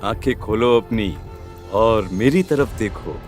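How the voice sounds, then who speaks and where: A man's voice: lowish voice, takes it slowly, faint music behind. A man playing a cruel, possessed village husband, taunting and menacing, in a horror story. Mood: creepy, commanding.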